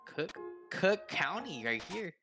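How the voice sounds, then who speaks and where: Man, joking gaming companion, slangy and profane, animated intonation, music behind. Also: high voice, slow. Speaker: companion commenting on a friend's gameplay. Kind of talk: casual gaming chat. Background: game music.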